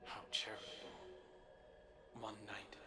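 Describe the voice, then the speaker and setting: A man playing a wistful, brooding brother in a game, telling a fable softly and in measured phrases. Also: fairly low pitch, completely flat delivery, close to an everyday voice. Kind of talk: storytelling monologue in a game.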